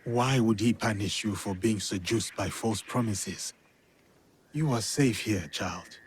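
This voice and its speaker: An actor playing a calm, solemn warrior man in a video game, speaking in a measured, protective way. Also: fairly low pitch, a little hiss or hum, lightly acted. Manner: measured, deliberate. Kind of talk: video game cutscene acting. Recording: game music behind the voice.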